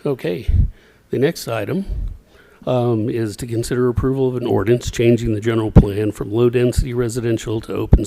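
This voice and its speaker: Low gravelly guy on city staff walking the council through a zoning item, mellow tone, steady with some ums. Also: slight background noise. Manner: steady, plain, with fillers. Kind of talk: formal presentation at a council meeting.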